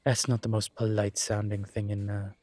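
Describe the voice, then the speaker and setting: A man playing a calm, courteous incubus professor and investigator in an intimate audio roleplay, speaking considerately with careful pauses. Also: fairly low pitch, no room sound, close to an everyday voice. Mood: explanatory. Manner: measured, considerate, hesitant pauses. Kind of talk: scripted audio roleplay, intimate one-sided conversation.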